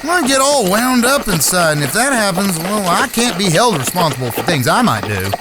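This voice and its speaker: A brash younger-brother gunslinger from a Western audio drama, acted by a man. His folksy voice sits high for a man and is hot-headed in temper. Clear background noise of crickets and night air surrounds him. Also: sing-song, a little fast. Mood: pleading, whiny. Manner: folksy, drawling rural speech. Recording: noisy track with cricket-filled outdoor ambience.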